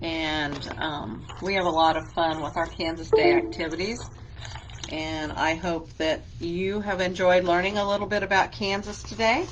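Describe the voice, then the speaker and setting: A woman's voice: lowish voice, fairly even intonation, moderate pace, very noisy. A woman librarian leading a children's craft lesson, speaking patiently and asking simple questions as a teacher would.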